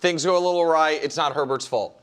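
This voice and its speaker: A man's voice: a little high, fast, fairly bright tone, smooth. A man hosting a sports debate show, arguing in an emphatic, needling manner. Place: TV studio.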